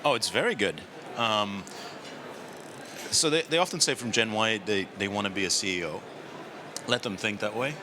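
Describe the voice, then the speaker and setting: A man with a low voice answers interview questions at a conference. He is relaxed and thinks aloud. Steady noise from the busy floor runs behind him. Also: moderate pace, pauses often. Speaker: industry guest. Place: conference studio.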